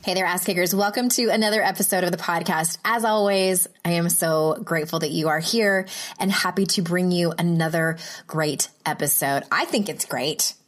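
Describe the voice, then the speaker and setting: Woman, podcast host, casual solo chat, slightly nasal, self-deprecating, lower pitch. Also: normal speed. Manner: chatty, self-deprecating, laughs often. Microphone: professional microphone.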